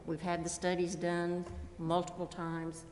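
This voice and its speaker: A woman's voice: fairly low pitch, completely flat delivery, unhurried. A woman speaking as a council member at a public meeting. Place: council chamber. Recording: echoey hall, faint noise.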